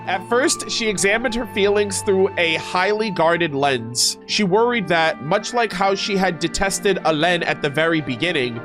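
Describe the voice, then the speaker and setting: Male gaming streamer, high-pitched, informal and slangy, reading and reacting over clear background music. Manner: informal, slangy, animated asides. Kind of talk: casual reaction commentary mixed with reading aloud. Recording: music playing behind the voice.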